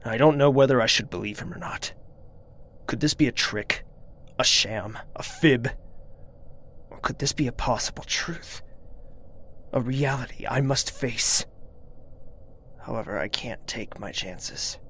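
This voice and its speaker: A man's voice: mid-range voice, unhurried, pauses often, fairly bright tone, slight background noise. A man playing a ruthless, suspicious royal ruler in an audio drama, imperious, theatrical and menacing. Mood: suspicious, brooding.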